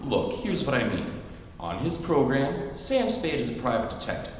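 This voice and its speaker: An actor playing a long-suffering husband in an old-time radio comedy, dry and exasperated. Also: male voice, medium pitch, sing-song, moderate pace, natural voice. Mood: exasperated explaining. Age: middle-aged. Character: long-suffering, deadpan husband of a scatterbrained wife. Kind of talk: 1940s radio comedy acting on stage. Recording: echoey hall, distant mic, faint noise.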